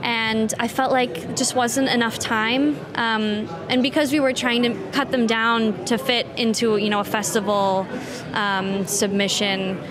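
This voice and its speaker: A woman's voice: a little high, little pitch movement, unhurried. A young woman with an American accent, a film director talking eagerly about her work into a handheld mic at a festival. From England. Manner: eager, laughing often. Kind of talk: casual interview. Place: festival media wall, indoors, busy. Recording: noisy crowd around. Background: crowd chatter.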